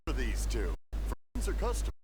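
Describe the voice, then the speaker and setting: A man's voice: medium pitch, huge pitch swings, moderate pace, music in the background. A man voicing a welcoming, talkative old father who runs a fireworks shop in a video game. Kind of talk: English video game voice acting.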